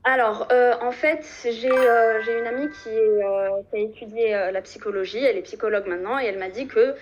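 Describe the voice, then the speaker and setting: A young woman from Algeria calls into a live stream and talks haltingly, full of fillers and restarts. Her voice sits a little high. The call comes through muffled and dull. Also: slight background noise. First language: French. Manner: hesitant, many fillers and restarts. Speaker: caller.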